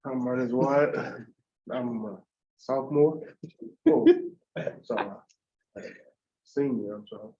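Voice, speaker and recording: A man's voice: mid-range voice, slow, long pauses, mellow. A male psychology student introducing himself, who corrects himself and apologizes along the way. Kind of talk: self-introduction at a group meeting. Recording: muffled lo-fi sound.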